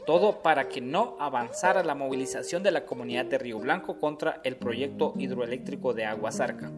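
A man's voice: mid-range voice, fairly quick, natural voice. An actor playing an earnest male Honduran activist in a radio drama, chatting in a colloquial way. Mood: earnest, informative. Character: Juancho, man activist chatting earnestly with a comrade. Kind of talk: scripted radio drama dialogue, conversational. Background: plucked guitar music.

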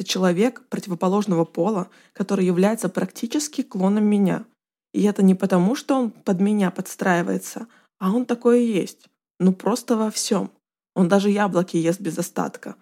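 A woman's voice: mid-range voice, mellow. A woman reading a first-person confessional account aloud as scripted narration.

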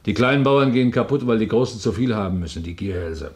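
An actor playing a chatty, awkward older farm manager with a folksy, rambling way of talking in a radio crime drama. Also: male voice, fairly low pitch, lively intonation, fast, darkish tone, lightly acted. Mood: grumbling. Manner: rambling, folksy, often quotes his wife.

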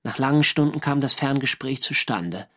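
An actor playing a First World War navy diarist, narrating in a measured, matter-of-fact literary way for a radio drama. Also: male voice, medium pitch, animated, a bit bright.

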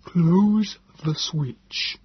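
A man voicing a non-human Morlock creature who speaks very slowly and deliberately, with an odd, distant timbre, in an audiobook reading. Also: fairly high pitch, slightly rough, dry recording, voice pushed up, full character voice. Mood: cold urgency. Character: eerie slow Morlock creature, cold and urgent. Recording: dull lo-fi recording.

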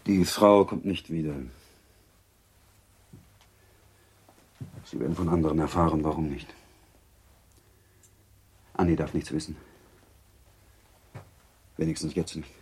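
An actor playing a brooding, rigidly self-controlled middle-aged baron in a German radio drama, speaking gravely and haltingly. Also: male voice, low voice, a little mellow. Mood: pained, restrained.

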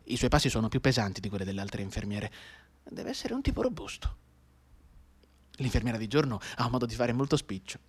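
A man playing a bedridden wounded soldier in a radio drama monologue, intense and soliloquising, with a breathy voice that can drop into whispers. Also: mid-range voice, expressive, quick pace. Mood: wistful, tender. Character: bedridden wounded young soldier, feverishly counting time.

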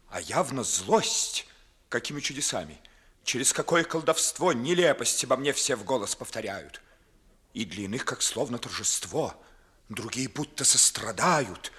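An actor playing a proud, wounded young gentleman in a classical radio play, declaiming bitterly and with agitation in a husky voice. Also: mid-range voice, expressive, a little slow, exaggerated voice. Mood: indignant, bitter. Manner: declamatory, agitated, theatrical monologue. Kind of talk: 1950s Russian classical radio theatre acting.

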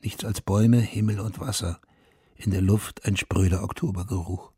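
Haunted, husky-voiced war veteran. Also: male voice, on the low side, fairly even intonation, moderate pace, dark tone, dry recording. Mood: sober recollection. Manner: sober, confessional, terse. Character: haunted, self-accusing male Vietnam War veteran telling his story. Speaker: narrator. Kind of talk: literary radio drama narration. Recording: studio radio play with music underneath.

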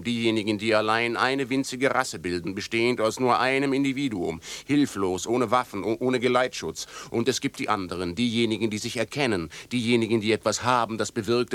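A man's voice: mid-range voice, fairly bright tone, close to an everyday voice. A man playing an insistent, menacing night-time stranger in a German radio play, talking in repetitive rhetorical bursts.

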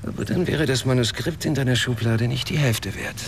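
A man's voice: mid-range voice, fairly quick, gravelly, noisy background, lightly acted. An actor as a bitter, sardonic German man. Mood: dry, mocking. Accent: standard German. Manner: sardonic, cutting. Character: bitter, proud paralysed inventor, a cynical man. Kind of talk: German radio drama acting.

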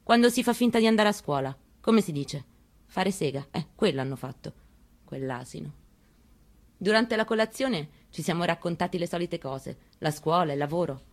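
A woman's voice: lowish voice, expressive, normal speed. A woman giving a dramatic first-person reading of a memoir, with an intimate storytelling delivery. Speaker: reader.

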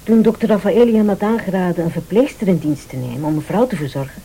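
An actress playing a composed, polite young nurse in a radio play, formal and matter-of-fact. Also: female voice, fairly low pitch, lively intonation, fast talker, mellow, a little hiss or hum, rough recording. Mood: composed, guarded.

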